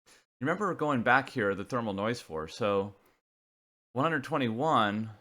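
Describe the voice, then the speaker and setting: A man giving an informal technical explanation, talking haltingly as he thinks aloud. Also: medium pitch, big pitch swings, a bit bright. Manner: casual, halting, thinking aloud while working through figures. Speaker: presenter of a CB radio technical video.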